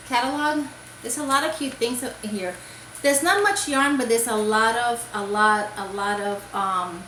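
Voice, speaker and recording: A woman's voice: a little high, pushed and bright, reverberant, a little hiss or hum, poor audio. A woman from Puerto Rico chatting casually about crafts for her video, rambling with many fillers and restarts. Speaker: crochet vlogger. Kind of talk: casual show-and-tell chat.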